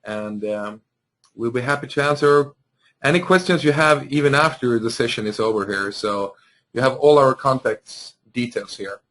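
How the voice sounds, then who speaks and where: Polite webinar host with a Swedish accent. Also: male voice, medium pitch, moderate pace, fairly bright tone. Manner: polite and courteous, with frequent "um" fillers. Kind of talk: closing a webinar.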